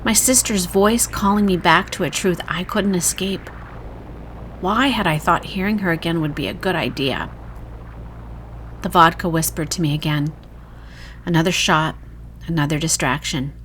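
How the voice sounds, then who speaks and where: A woman reading a first-person horror short story in a dramatic, emotive, hushed storytelling manner. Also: fairly low pitch, normal speed, pauses often, slight background noise.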